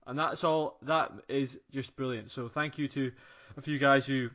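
A man's voice: mid-range voice, steady tone, moderate pace, fairly bright tone. Chatty man, slightly muffled. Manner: chatty, rambling, appreciative. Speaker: podcast co-host and interviewer. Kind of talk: casual chat.

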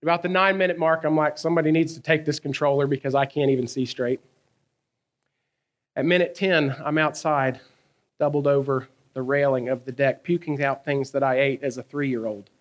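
A man, preaching a sermon in a conversational, animated way, asking his listeners questions and telling stories from his own life. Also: a little high, fairly quick. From American South. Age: 30s. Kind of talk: sermon with personal storytelling.

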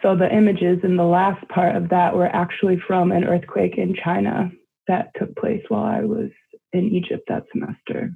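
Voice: medium pitch, little pitch movement, moderate pace, vocal fry, husky. A young adult reading a poem aloud, then chatting casually about it, rambling with many fillers. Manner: rambling, heavy with fillers in chat. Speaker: poet and guest. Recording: tinny phone-like line.

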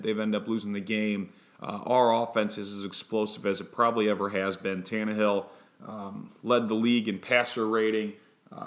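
Monotone man with a low voice. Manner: many ums and restarts, steady delivery. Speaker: fan podcast host. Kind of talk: casual sports preview talk.

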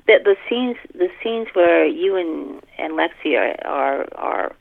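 Female guest author, low gravelly voice with lively swings, effusive, muffled phone-like audio. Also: slow pace, no echo. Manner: effusive, exclamatory. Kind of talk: casual animated chat. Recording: dull, phone-like call sound.

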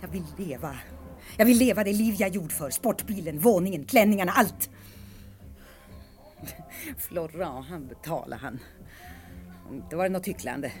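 An actress playing a bitter, lonely woman of forty-three in an intense radio monologue, swinging between hushed dread and outbursts. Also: lowish voice, animated, pauses often, soft background music, natural voice. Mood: desperate, demanding. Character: bitter, lonely 43-year-old woman, self-pitying and raging. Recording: archive radio recording with background noise.

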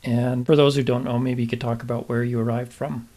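Male interviewer, encouraging, mid-pitched, conversational. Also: little pitch movement, fairly quick, mellow. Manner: encouraging, backchannels, laughs along.

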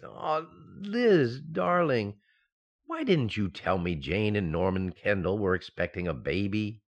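An actor playing an excitable, befuddled, affectionate husband in a 1940s-style radio sitcom, with stammering comic delivery. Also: male voice, lowish voice, measured pace. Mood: sheepish, subdued.

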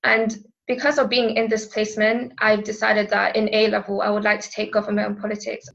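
A woman's voice: mid-range voice, monotone, fairly quick, gravelly, fairly bright tone, dull audio. A teenage schoolgirl in a recorded testimonial, speaking earnestly and carefully with some hesitations. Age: teens. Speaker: school pupil.

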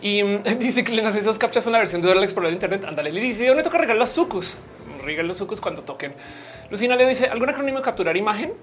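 A young woman from Colombia who speaks, hosting a casual livestream, chatty and playful as she reads comments and laughs often. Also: medium pitch. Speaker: livestream host. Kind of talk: casual live-stream chat reading comments.

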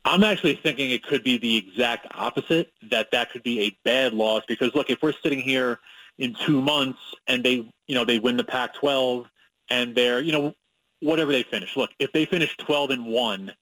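A man's voice: mid-range voice, moderate pace, fairly bright tone, dry recording, rough recording. A man from Long Island with a New York accent, a sportswriter guest talking casually and analytically on a radio interview. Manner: conversational, with many fillers and restarts, analytical.

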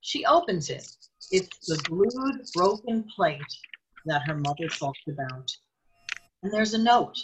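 A woman's voice: on the low side, expressive, slow, slight background noise, poor audio. A woman reading scripted narration for a radio drama as its storyteller.

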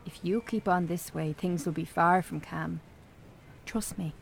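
A woman's voice: lowish voice, steady tone, measured pace, creaky, soft background music. An actress playing a frank, concerned small-town Irish woman, blunt and earnest, in naturalistic radio drama. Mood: blunt, frustrated.

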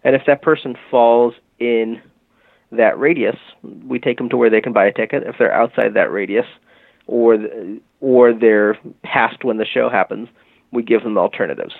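Guest interviewee: lowish gravelly male voice, casual explainer, dry phone-line sound. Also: normal speed, no room sound. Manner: relaxed, frequent fillers like "you know" and "um". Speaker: guest, music marketing tech company founder. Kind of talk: conversational interview, explaining a product.

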